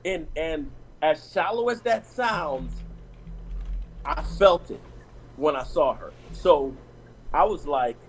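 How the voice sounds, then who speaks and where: Talkative man, somewhat high voice. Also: animated, slow, pauses often, slight background noise. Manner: conversational, restarts and "you know what I'm saying". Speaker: panelist on a discussion show. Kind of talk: casual group chat, telling personal stories.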